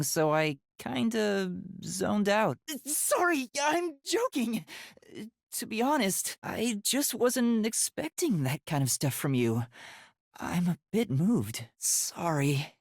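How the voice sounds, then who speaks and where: A young male trainee detective from a video game, earnest and unsure of himself. His voice is light for a boy, husky and breathy, and swings widely in pitch as he hesitates or gets flustered. The recording is dry and close. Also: no echo, exaggerated voice. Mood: candid, teasing. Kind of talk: English video game voice acting.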